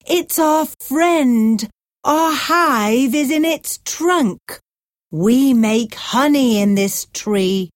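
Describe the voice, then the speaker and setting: A woman's voice: high-pitched, expressive, drawn-out words, no echo, studio quality, pitched above the performer's own voice, full character voice. A woman voicing a chorus of bees asking to spare the tree, in a lightly raised, coaxing voice. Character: busy friendly bees in chorus. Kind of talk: storybook reading for pupils.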